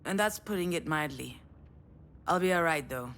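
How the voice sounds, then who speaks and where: Wry, self-deprecating, lowish-voiced woman. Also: lively intonation, normal speed, a bit bright, a little hiss or hum. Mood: dry, exasperated. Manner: sardonic, confiding, exasperated. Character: wry, stressed, self-deprecating woman narrating her own disastrous life. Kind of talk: scripted first-person game narration and dialogue.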